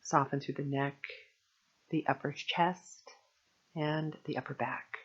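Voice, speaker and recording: A woman guiding a meditation as a teacher, speaking gently and soothingly in measured phrases with long pauses. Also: low voice, fairly even intonation, husky, highs cut off. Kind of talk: guided meditation narration.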